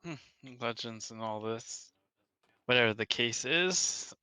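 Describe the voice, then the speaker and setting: A man's voice: medium pitch, takes it slowly, bright, forceful tone, clean tone, no echo. A man chatting casually as a player in a tabletop role-playing game. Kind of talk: casual chat.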